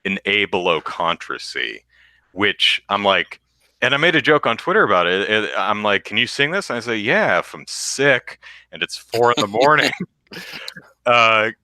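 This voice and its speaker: Deep-voiced man rambling in casual chat. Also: sing-song, normal speed, pushed and bright, no room sound. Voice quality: deep bass voice. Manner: rambling, self-interrupting with restarts, sings low demo notes. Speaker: podcast co-host.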